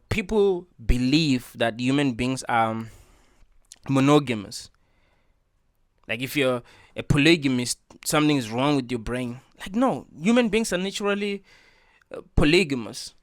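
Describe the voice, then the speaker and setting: A man lays out his views in an earnest, preachy monologue, repeating himself and leaning on "you know". His voice sits at an ordinary middle pitch. It is recorded close and dry, with no room sound. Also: dry recording. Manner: earnest, preachy, repeats points with filler words. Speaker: reaction video host. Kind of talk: casual opinion monologue.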